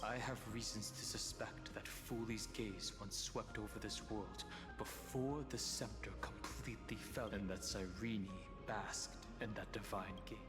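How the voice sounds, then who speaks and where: An actor playing a serious, analytical investigator and guide in a video game, speaking in measured exposition. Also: male voice, lowish voice, in a large hall, natural voice. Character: grave, analytical male investigator and protective guide. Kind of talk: scripted video game acting.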